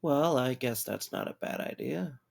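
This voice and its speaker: Man playing Mike, creaky-voiced, hesitant young guy. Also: medium pitch, expressive, darkish tone. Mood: polite, uneasy. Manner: halting, stammering. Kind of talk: audio drama acting.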